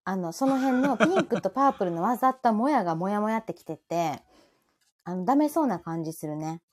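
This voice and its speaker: A woman's voice: mid-range voice, animated, fairly quick, darkish tone. A middle-aged woman with a Kansai accent chatting casually and playfully as co-host of a live stream, prone to giggling.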